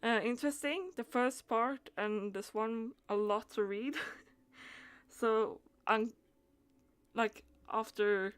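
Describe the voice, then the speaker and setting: Slow, higher-voiced woman streaming a game. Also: fairly even intonation, clean tone. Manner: hesitant, thinking aloud with trailing phrases. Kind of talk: casual live gaming stream commentary.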